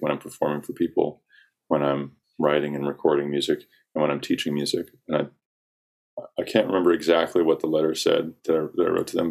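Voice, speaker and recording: Very deep-voiced young man, flat and relaxed. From South Carolina, US. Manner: relaxed, rambling, frequent ums and likes. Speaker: guest singer-songwriter. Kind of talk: casual interview talk.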